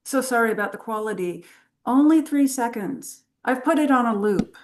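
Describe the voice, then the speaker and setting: A woman reading the part of a cool, confident female adventurer at a script table read, brisk and matter-of-fact. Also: a little high, expressive, slight background noise, lightly acted. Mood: businesslike.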